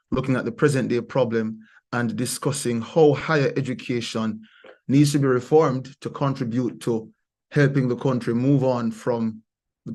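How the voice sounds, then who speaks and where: A man hosting an online panel discussion from his workplace, upbeat and chatty, joking with his guests. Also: medium pitch. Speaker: host.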